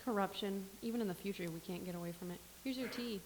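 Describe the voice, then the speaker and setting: A woman's voice: medium pitch, fast talker, a crowd in the background, lightly acted. A woman playing a dry, sardonic café server. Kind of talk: staged comedy reading. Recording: reverberant space with faint hiss.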